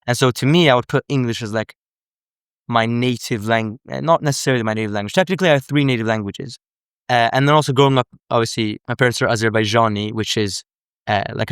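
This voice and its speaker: A man's voice: medium pitch, clean tone, no room sound, clean studio sound. A young man from Sweden, a language coach, explaining in casual unscripted live commentary, earnest and correcting himself often.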